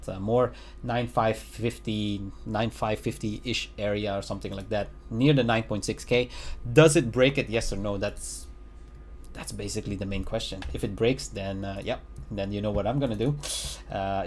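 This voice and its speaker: Man giving halting chart commentary. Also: lowish voice, steady tone, darkish tone, a little hiss or hum. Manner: halting, many fillers and restarts; chuckles at own remarks. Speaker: crypto market analyst. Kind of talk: casual chart analysis commentary. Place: home.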